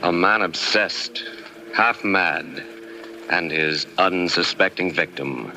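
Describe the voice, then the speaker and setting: Deep, slow ominous radio announcer man. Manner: ominous, dramatic, deliberate. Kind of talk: 1940s radio suspense announcing. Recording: noisy vintage radio recording.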